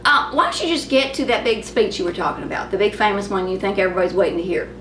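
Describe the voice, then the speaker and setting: A man's voice: high-pitched, rapid-fire, sharp tone. A man voicing a blunt, bossy, child-like comic sidekick who nags insistently. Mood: blunt, coaxing. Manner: insistent, nagging. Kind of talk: comic dialogue. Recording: roomy, distant mic, faint noise.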